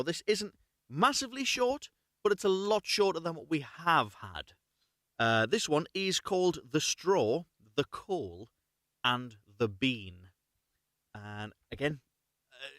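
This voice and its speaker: A man's voice: mid-range voice, a bit bright, no echo, clean studio sound. A man hosting a live story reading, chatting casually and reading unrehearsed in a rambling, self-correcting way. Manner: rambling, self-correcting, apologetic about slips.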